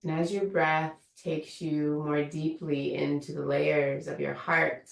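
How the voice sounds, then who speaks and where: A low-voiced woman guides a meditation, her words unhurried and gently inviting. Her voice has a gravelly edge. She sounds a little away from the mic, and the room gives it an echo. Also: little pitch movement, far from the mic. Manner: slow, soothing, invitational guidance. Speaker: meditation teacher. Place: room of an online yoga studio.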